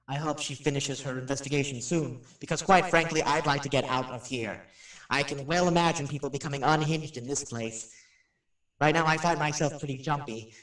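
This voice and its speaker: Radio play casting: nervy, folksy showman, highish animated voice, talking straight to listeners, roomy acoustic. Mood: jumpy, nervous. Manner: folksy, addressing listeners directly. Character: jumpy, chatty radio showman touring a haunted house. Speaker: actor playing a radio personality. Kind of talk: radio drama acting. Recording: roomy, slightly distant microphone.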